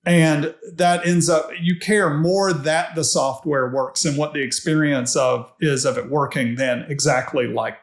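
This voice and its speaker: A man giving measured, reflective and analytical answers as a podcast guest, recorded in an echoey room. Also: on the high side, fairly even intonation. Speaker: podcast guest, technology executive. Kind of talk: conversational interview answers. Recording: echoey, reverberant room sound.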